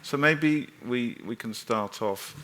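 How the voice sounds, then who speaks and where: A man's voice: mid-range voice, slow, fairly bright tone, slight background noise. A man moderating a conference panel, polite and inviting, with many hesitant fillers. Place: conference stage in a hall.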